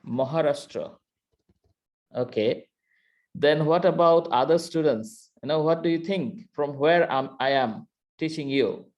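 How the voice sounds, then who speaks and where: A man's voice: fairly high pitch, measured pace, lo-fi sound. A man from Bangladesh with a Bangladeshi accent, a university lecturer teaching an online class over a computer mic, talking hesitantly with many fillers and restarts. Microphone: computer mic on video call.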